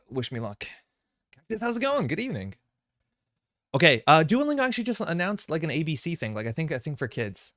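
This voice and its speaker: A man's voice: medium pitch, a bit bright, dry recording, clean studio sound. Rambling casual man on a live stream. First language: Slovenian. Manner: rambling, many fillers and restarts. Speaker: stream host. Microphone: desk mic.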